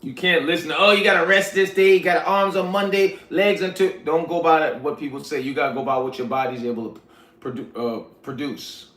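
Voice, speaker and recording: A man's voice: a little high, brisk, a bit bright. A man hosting a casual livestream, chatting to viewers in a laid-back, slangy way and calling them "y'all". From Liberia. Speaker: livestream host reading chat. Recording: roomy echoey sound.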